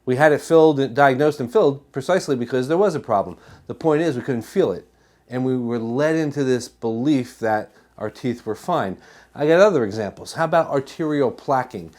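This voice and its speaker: American male health educator, medium pitch, emphatic presenter who repeats points and poses questions. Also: moderate pace, darkish tone. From New Jersey, United States. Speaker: chiropractor giving a health talk.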